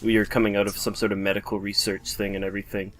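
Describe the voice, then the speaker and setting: A man's voice: on the low side, completely flat delivery, quick pace. A man giving casual, inquisitive and analytical commentary alongside a video game player. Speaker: let's play co-commentator.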